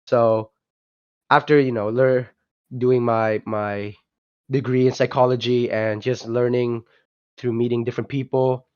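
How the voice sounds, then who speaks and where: A man's voice: medium pitch, steady tone, unhurried, smooth, no echo. A young man from Vietnam, a guest talking casually on an online call, hesitant and full of restarts and fillers. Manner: hesitant, many restarts and "you know" fillers. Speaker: guest, project founder. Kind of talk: casual podcast interview. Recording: dull lo-fi online call audio.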